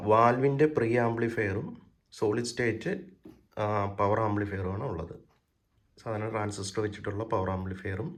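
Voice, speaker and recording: Low-voiced Kerala man, hesitant informal walkthrough. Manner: unscripted, hesitant with frequent pauses mid-sentence. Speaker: presenter of a vintage audio gear channel. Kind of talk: informal product walkthrough, explaining part by part.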